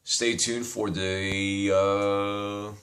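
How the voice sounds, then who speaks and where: A young man with a very low voice and a slight Persian accent, chatting loosely through a product review. He rambles, circles back, and drops casual swear words. The tone is informal, like an amateur video review. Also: little pitch movement, extremely slow, fairly bright tone. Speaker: vape reviewer.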